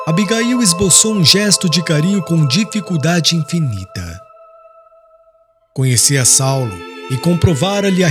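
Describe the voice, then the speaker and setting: A man narrating an audiobook in a solemn, literary and dramatic style. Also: a little high.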